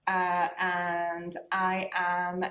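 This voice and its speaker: Lowish-voiced woman in an echoey room. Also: monotone, extremely slow, fairly bright tone. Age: 30s. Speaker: film programmer, panellist. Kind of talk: panel discussion, informal report.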